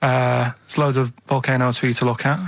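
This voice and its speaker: A man's voice: medium pitch, flat delivery, brisk, vocal fry, no echo. A man co-hosting a radio show, telling rambling casual anecdotes in a hesitant, matter-of-fact way.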